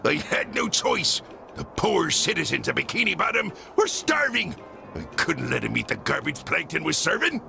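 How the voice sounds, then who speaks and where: An actor voicing a greedy, gruff old crab boss with a gravelly stage-sailor pirate accent, in a cartoon game. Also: male voice, a little high, sing-song, normal speed, over music, exaggerated voice. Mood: indignant.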